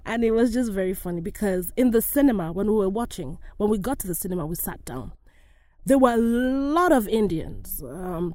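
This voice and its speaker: A woman's voice: on the high side, dark tone, no echo. A woman speaking passionately and emphatically in a personal vlog. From Africa. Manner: passionate, emphatic, rising exclamations. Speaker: actress.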